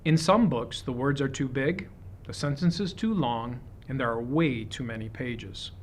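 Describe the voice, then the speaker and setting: A man reading a children's picture book aloud, with expressive, deliberate phrasing suited to young listeners. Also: mid-range voice, slight background noise. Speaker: storybook reader.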